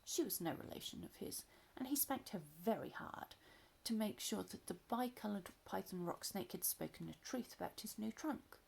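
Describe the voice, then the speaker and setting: A woman reading a children's story aloud in an expressive, playful storytelling manner. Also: mid-range voice, gravelly, a little hiss or hum.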